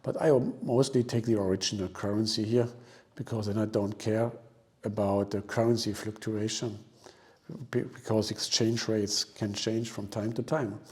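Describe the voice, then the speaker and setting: A man hosting an investing video, explaining informally and a little haltingly as he walks viewers through a screen. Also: fairly low pitch, flat delivery, a little mellow. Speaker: host of a stock investing channel. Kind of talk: informal explanatory stock analysis commentary.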